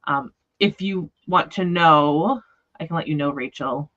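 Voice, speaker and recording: A woman's voice: deep voice, a little slow, a bit bright, muffled recording. A woman hosting a book discussion livestream in casual chat, talking in an informal, rambling, tentative way. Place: remote livestream call.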